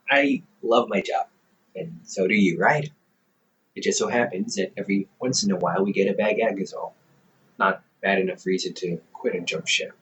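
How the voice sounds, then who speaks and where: A man's voice: sits low, moderate pace, pauses often, fairly bright tone, rough recording. A man voicing a playful young adult older brother who works as a company therapist, teasing the listener and laughing often in an audio roleplay.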